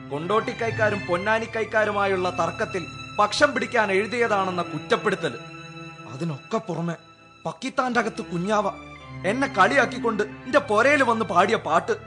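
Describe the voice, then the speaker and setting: An actor playing an earnest, troubled young poet in a radio drama. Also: male voice, high voice. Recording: radio drama with music underneath.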